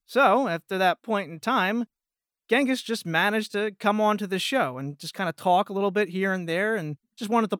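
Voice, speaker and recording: A man's voice: fairly high pitch, a bit bright, smooth, dry recording, clean studio sound. A man chatting casually as an interview guest about podcasting.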